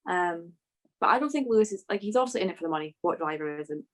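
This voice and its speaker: A woman's voice: on the low side, animated, quick pace. A woman chatting informally as a panellist, often dropping "like" into her sentences. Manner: informal, peppered with "like". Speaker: podcast panellist. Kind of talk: casual motorsport panel chat.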